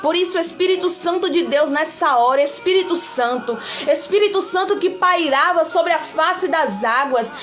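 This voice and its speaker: A woman's voice: extremely high pitch, lively intonation, normal speed, hardly any pauses, fairly bright tone, soft background music. A woman preacher with a Brazilian accent, giving devotional preaching and prayer in an emphatic, repetitive way, often calling on God.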